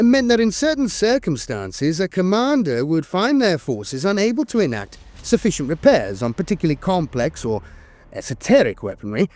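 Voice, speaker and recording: A man reading scripted lore narration as an in-world chronicler, formal and measured, with frequent hesitations. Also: on the high side, darkish tone, dry recording.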